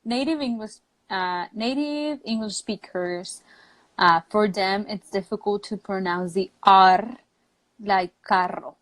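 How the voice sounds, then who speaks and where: A woman's voice: medium pitch, slow pace, low-quality recording. Woman with a Mexican accent, chatting. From Mexico, near the US border. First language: Spanish. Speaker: English teacher, guest. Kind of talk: casual live chat.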